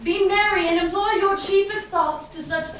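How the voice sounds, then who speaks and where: A woman playing a gossiping gentleman in a Shakespearean staged reading, telling the tale with expressive emphasis. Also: very high-pitched, moderate pace. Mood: dramatic, affectionate. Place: live staged reading in a reverberant room. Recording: very echoey room, distant, faint noise.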